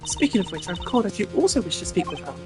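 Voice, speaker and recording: A game streamer voicing an imperious, sharp-tongued female investigator in a commanding, theatrical manner. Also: mid-range voice, very animated, rapid-fire, music in the background, voice pushed up, lightly acted. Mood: smug, formal.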